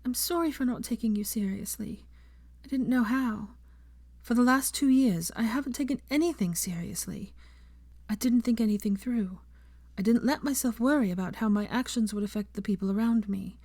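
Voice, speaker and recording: Woman acting high-strung, emotional young woman. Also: normal speed, darkish tone. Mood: tearful, remorseful. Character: emotional young woman, outraged yet tender and remorseful. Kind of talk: dramatic audiobook character acting.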